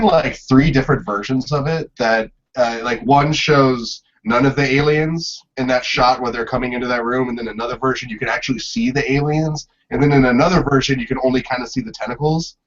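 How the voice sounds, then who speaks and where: A man chatting casually as a podcast panelist, halting and correcting himself as he thinks aloud. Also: mid-range voice. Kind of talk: casual chat. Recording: dull lo-fi podcast audio.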